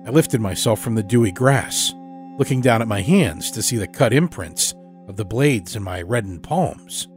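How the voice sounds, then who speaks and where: A man telling a scripted first-person horror story as storyteller, dramatic and expressive. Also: on the low side, normal speed, soft background music. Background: musical score.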